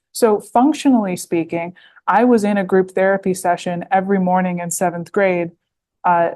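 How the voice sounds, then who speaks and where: A woman's voice: on the low side, warm tone. A woman, talking as a podcast guest, full of fillers and restarts. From United States. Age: 20s. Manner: rambling, heavy with fillers and restarts. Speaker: guest author. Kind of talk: podcast interview.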